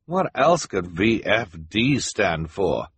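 A man's voice: on the low side, sing-song, slow, a bit bright, husky, dry recording, exaggerated voice. A man voicing a defensive male auction bidder with a plain manner.

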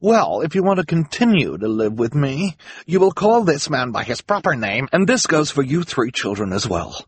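A man's voice: medium pitch, sing-song, moderate pace, slightly rough, no echo, pitched above the performer's own voice. A man voicing a vain, wealthy woman who is imperious, snappish and emphatic. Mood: angry, boastful. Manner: haughty, impatient, emphatic.

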